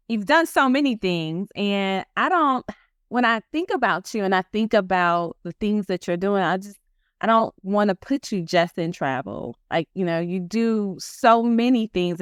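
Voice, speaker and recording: A woman's voice: mid-range voice, clean tone, no room sound, clean studio sound. A woman hosting a casual interview, asking her guest questions with open admiration. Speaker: podcast host.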